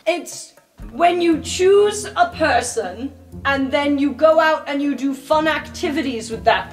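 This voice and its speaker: A woman playing an awkward, earnest, exasperated student with a hesitant, politely formal manner in a tabletop game. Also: sits high.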